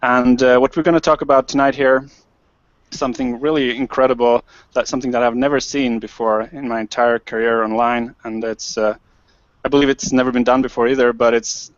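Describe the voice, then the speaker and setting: A man's voice: mid-range voice, no echo. A man from Sweden speaks on a video call in a level, unvaried tone, the sound dry and close. The audio is dull and lo-fi, like an online call. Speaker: online marketer, panel host. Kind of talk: casual video call panel talk.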